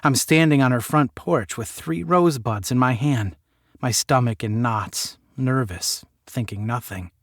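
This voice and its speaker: A man's voice: medium pitch, measured pace, a bit bright, no echo, studio quality. A man playing a sardonic, moody young working man who narrates his own audio story, drifting between muttering and bitterness. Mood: dreamy.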